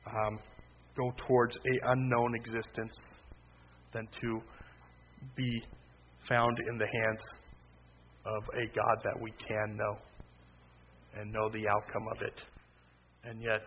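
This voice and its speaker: A man preaches in a conversational, loud voice that keeps to a narrow, flat line but jumps up in emphatic bursts. The recording is slightly dull, with faint noise behind him. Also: lowish voice, slow, pauses often. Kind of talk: sermon.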